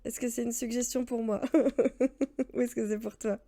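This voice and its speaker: A woman in her late thirties streams a game and chats loosely with her viewers, wandering between topics with plenty of fillers and laughter. Her voice sits a little high but has a soft, mellow tone. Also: steady tone, unhurried. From France. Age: 30s. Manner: rambling, chatty, laughs often, answers chat. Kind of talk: casual let's-play chat.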